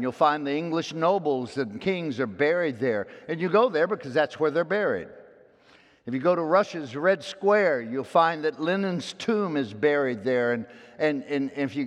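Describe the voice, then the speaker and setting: A man's voice: on the high side, expressive, normal speed. Man preaching in a reverberant sanctuary. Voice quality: loud voice. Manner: folksy storytelling, swinging between hushed asides and loud emphatic peaks. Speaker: pastor. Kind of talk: sermon. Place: church sanctuary. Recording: long hall reverb.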